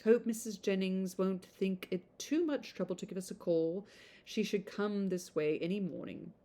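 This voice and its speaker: A woman reading as a young Regency letter-writer, formal, measured and politely ingratiating. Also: medium pitch, warm tone, lightly acted. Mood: polite, self-pitying.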